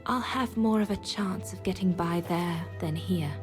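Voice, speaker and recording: An actress playing a grieving woman who reads out her letters in a hushed, sorrowful way for a story game. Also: lowish voice, moderate pace, over music, natural voice. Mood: sad, resigned. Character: grieving woman writing letters to a dear friend.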